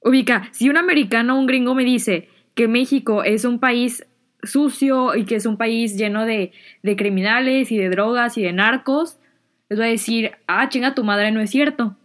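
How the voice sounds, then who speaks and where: A young adult woman with a Mexican accent, telling personal stories in a casual, slangy, expressive and giggly way, like a vlogger. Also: a little high, moderate pace. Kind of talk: casual chatty storytelling, slangy.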